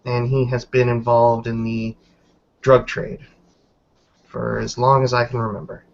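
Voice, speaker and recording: A man's voice: mid-range voice, little pitch movement, unhurried, gravelly. A man roleplaying a guarded female werewolf newcomer in a tabletop game, choosing formal words with careful hesitations. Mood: candid. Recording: dull lo-fi voice recording.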